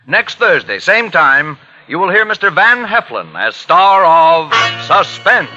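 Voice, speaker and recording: A man's voice: high-pitched, big pitch swings, unhurried. An American man reading scripted announcements in a 1940s radio style. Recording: muffled lo-fi vintage radio broadcast. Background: music.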